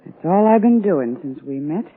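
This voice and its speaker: Casting: cool double-crossing gun moll, husky high voice, animated 1940s radio acting, boxy old sound. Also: female voice, moderate pace. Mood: sly, flirtatious. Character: cool, sly female gangster's moll, double-crossing schemer. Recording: muffled, band-limited 1940s radio recording.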